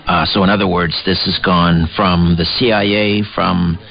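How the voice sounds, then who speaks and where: Man, talk radio host, fairly low pitch, measured halting phrasing, interview style, muffled lo-fi broadcast. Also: steady tone, gravelly. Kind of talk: live interview and break announcements. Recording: dull lo-fi shortwave radio relay.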